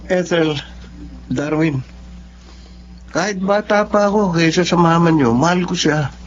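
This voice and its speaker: A man's voice: a little high, unhurried, lightly acted. A Filipino man acting in a radio drama as a sly, manipulative younger lover who drinks and turns on false sweetness. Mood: earnest. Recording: dull lo-fi audio with clear noise, hum.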